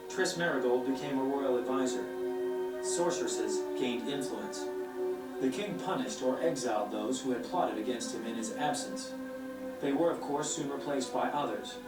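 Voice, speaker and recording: An actor playing a theatrical, eloquent bard in a video game, speaking with a storyteller's dramatic, wry phrasing. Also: male voice, mid-range voice, natural voice. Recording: roomy game playback, distant, music underneath.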